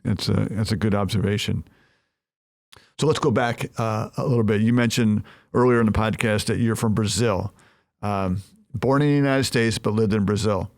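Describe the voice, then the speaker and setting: A man's voice: lowish voice, normal speed, a little mellow, no room sound, studio quality. A man hosting a podcast interview, asking questions and encouraging his guest with short affirmations. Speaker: podcast host.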